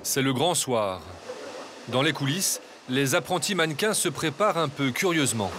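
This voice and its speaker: A man reading scripted documentary voice-over narration in a measured, even way. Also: medium pitch.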